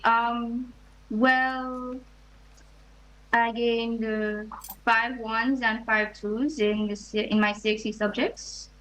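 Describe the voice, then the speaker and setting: A teenage girl from Jamaica, interviewed over a video call, answering softly and hesitantly. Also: a little high, level tone, takes it slowly, slight background noise. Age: late teens. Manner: soft, hesitant, many ums. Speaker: student guest. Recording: dull lo-fi video call audio.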